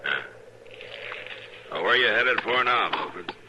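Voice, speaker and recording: Cast: a steady frontier lawman, very low and dry, faint hiss. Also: a little slow. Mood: laconic. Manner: dry, even, laconic. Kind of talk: 1950s radio drama acting.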